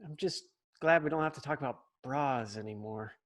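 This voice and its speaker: A man's voice: mid-range voice, moderate pace, natural voice. A man reading the part of a cocky, martial-arts-obsessed kid brother at a sitcom table read. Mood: smug.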